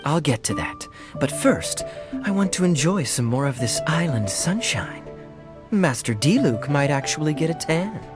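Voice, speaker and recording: A man playing a suave, smug young knight in a video game, smooth-talking, sardonic and playful. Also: on the high side, big pitch swings, normal speed. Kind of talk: animated video game voice acting. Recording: music behind the voice. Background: game music.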